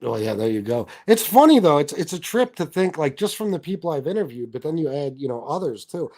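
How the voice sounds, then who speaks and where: A man in his forties hosting a casual interview chat, relaxed and agreeable, reading out viewer questions. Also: a little high, fairly quick. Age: 40s. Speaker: host.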